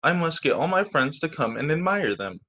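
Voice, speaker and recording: A man's voice: fairly high pitch, big pitch swings, moderate pace, bright, forceful tone, full character voice. A man voicing a proud but easily saddened mother hen in a children's storybook read aloud. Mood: proud, eager. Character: proud mother hen, growing glum and wistful.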